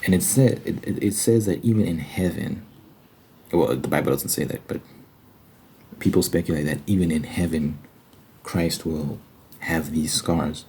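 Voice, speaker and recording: Man, very low pitch, mellow tone, ASMR religious talk, earnest, conversational, repetitive emphasis. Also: unhurried, long pauses, a little hiss or hum. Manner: earnest, conversational, rhetorical questions, repeats phrases for emphasis. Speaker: ASMR Bible study host. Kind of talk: ASMR, informal religious talk ending in prayer.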